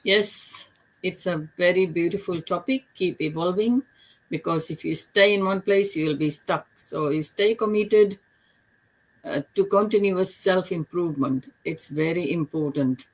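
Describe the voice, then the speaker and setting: A woman's voice: fairly low pitch, measured pace, low-quality recording. An older retired woman from Fiji, speaking as a panelist in an online business talk in an earnest, motivational way.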